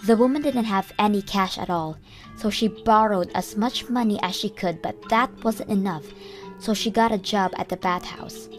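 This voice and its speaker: A young woman narrating a manga dub in first person, measured and earnest. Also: medium pitch, faint music behind. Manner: measured storytelling, plain and earnest.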